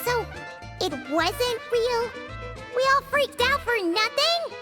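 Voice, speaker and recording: A woman voicing a tiny, excitable companion girl who talks about herself in the third person. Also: extremely high pitch, slow pace, exaggerated voice. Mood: surprised. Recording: game scene with music.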